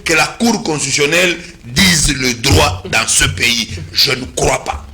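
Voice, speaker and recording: A man with a Congolese French accent, calmly explaining election law as a guest commentator in a talk-show discussion and slipping into Lingala now and then. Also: on the high side, measured pace, crowd noise. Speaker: political commentator. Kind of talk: studio political discussion.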